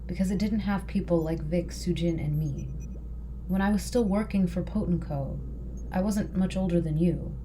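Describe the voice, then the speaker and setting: A woman playing an earnest, composed rebel leader in an audio drama, speaking in measured, solemn, persuasive speeches. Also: on the low side, mellow, slight background noise, natural voice.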